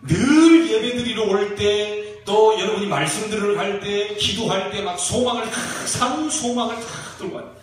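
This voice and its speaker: Male preacher, regional Korean accent, high voice, emphatic exhortation, heavy room echo, church sermon. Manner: emphatic, exhorting, with rhetorical questions and drawn-out key phrases. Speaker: pastor preaching to a congregation. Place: church sanctuary during a service. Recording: very echoey church hall, distant mic, faint noise.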